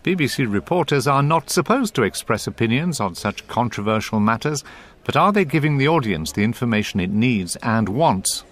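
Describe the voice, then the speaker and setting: A man presents a radio feature from a script. His pitch sits in the middle range and moves with a lot of animation. The delivery is that of a programme presenter. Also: lively intonation, moderate pace. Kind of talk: scripted narration.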